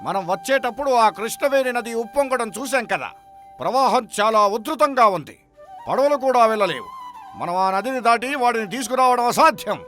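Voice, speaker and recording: A man's voice: extremely high pitch, expressive, a little fast, pushed and bright, soft background music, exaggerated voice. A man playing a stern, commanding king's soldier in a folk story, speaking with formal authority. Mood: brisk, decisive. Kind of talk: moral-story dramatization.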